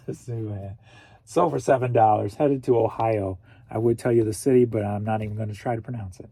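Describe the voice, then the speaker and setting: Goofy, husky-voiced man in his forties. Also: on the low side, steady tone, moderate pace, dark tone. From St. Louis, Missouri. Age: 40s. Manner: goofy, jokey, talks to himself. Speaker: online reseller. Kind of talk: casual vlog while packing orders.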